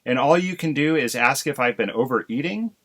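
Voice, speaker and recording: Guy doing a shrill upset mama crow, loud and squawky, bouncing all over the place. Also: huge pitch swings, normal speed. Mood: indignant. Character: fretful indignant mother crow, female.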